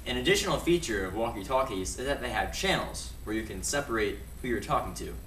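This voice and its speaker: A man's voice: medium pitch, animated, quick pace, a bit bright. A young man giving an explanatory presentation for an educational video. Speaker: student presenter. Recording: echoey room, distant mic, faint noise.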